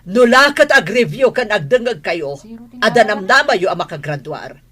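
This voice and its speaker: A woman playing a strict, scolding high school teacher in a radio drama, husky and emphatic as she lectures. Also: animated, normal speed, a bit bright, natural voice. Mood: stern scolding.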